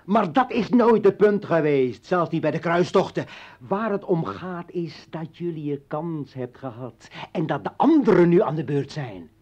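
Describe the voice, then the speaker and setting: An actor playing a bitter, militant revolutionary and former journalist in a radio play, ranting and taunting in halting bursts. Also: male voice, a little high, lively intonation, darkish tone. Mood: scornful. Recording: dull vintage radio drama recording.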